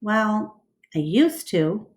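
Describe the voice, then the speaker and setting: A woman voicing an earnest, polite and gentle male gorilla in a storybook reading. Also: mid-range voice, very animated, very slow. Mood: gentle, reticent.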